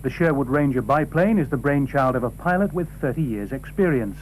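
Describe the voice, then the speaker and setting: A man's voice: medium pitch, animated, brisk. A man narrating a scripted television news feature as a reporter. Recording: noisy old TV broadcast, faint hum.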